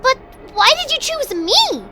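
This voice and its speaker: A woman's voice: very high-pitched, big pitch swings, measured pace, over loud music, full character voice. A woman voicing a bewildered young female pony in a cartoon comic dub, childlike and plaintive.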